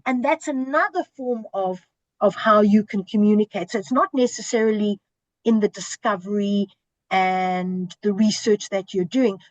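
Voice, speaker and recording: A woman's voice: medium pitch, moderate pace, darkish tone, no echo, low-quality recording. A woman, a senior broadcast journalist, speaking earnestly and emphatically in a panel discussion over a video call. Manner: earnest, emphatic, lists and repeats for stress.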